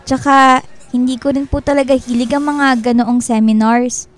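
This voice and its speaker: A woman's voice: sits high, steady tone, moderate pace, no room sound, lightly acted. An actress playing a sweet, shy young woman in a Filipino radio drama, speaking respectfully and a little hesitantly. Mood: shy, polite. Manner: respectful, soft-hearted, hesitant.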